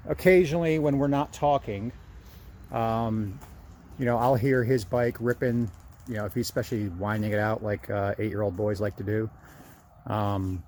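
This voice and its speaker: A man's voice: on the low side, steady tone, normal speed, a little mellow, slight background noise. A man, plain-spoken and conversational, talking through a casual hands-on product review.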